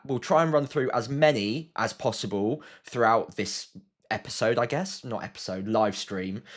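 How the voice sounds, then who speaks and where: A British man hosting a casual live stream, chatting and thinking aloud as he answers viewers, slightly sniffly with a cold. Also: fairly low pitch.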